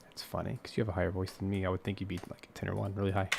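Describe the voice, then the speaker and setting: A man composing on a live stream, chatting casually, humming lines and counting off beats with joking asides; a baritone who sings tenor. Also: flat delivery, rapid-fire, gravelly, a little mellow, soft background music.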